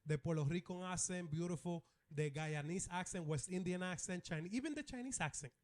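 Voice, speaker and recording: A man's voice: high-pitched, fairly quick, a little mellow. A man from the Dominican Republic with a Dominican accent, a comedian guest chatting brashly and profanely, cracking jokes. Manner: brash, profane, jokey, switches into playful voices. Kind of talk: casual podcast chat.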